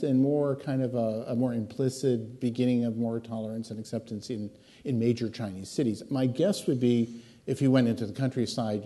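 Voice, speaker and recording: An older man on a discussion panel answers from the stage with a story. He chuckles as he goes, at a middle pitch. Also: warm tone. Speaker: panel expert.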